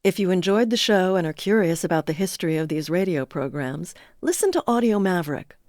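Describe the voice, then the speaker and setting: A woman's voice: on the low side, animated, fairly quick, darkish tone, no echo, clean studio sound. A woman announcing podcast credits in a scripted reading. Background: faint music.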